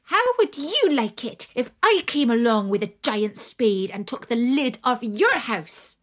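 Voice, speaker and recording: A woman's voice: fairly high pitch, big pitch swings, unhurried, pitched above the performer's own voice. A woman voicing a tiny, bossy fairy woman from a storybook, indignant and scolding in an imperious way. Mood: angry, scolding. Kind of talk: storybook character voice.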